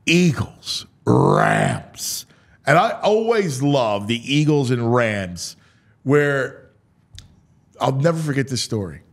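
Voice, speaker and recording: A man hosting a sports talk show, mixing dramatic, drawn-out delivery with casual, offhand asides. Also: mid-range voice, big pitch swings.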